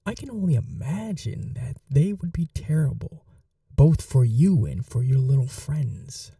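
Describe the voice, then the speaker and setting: A man playing a possessive, sadistic vampire lord in an ASMR roleplay, courtly, theatrical and taunting, with breathy drops into whispers. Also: medium pitch, lively intonation, a little slow, warm tone, dry recording, studio quality, far from the mic. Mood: mocking, daring. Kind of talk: dominant ASMR roleplay acting.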